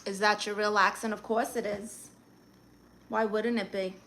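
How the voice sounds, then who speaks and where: A woman's voice: mid-range voice, level tone, a little slow, fairly bright tone, a little hiss or hum. A woman from Brooklyn, chatting casually on a live stream, greeting viewers and firing back sassy, playful comebacks to their comments. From Brooklyn, New York. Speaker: livestreamer.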